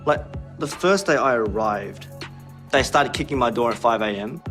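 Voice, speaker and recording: A man's voice: medium pitch, lively intonation, normal speed, over music. A young man, talking candidly in an interview and laughing now and then. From Australia. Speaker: interviewee, former trainee. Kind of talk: casual interview.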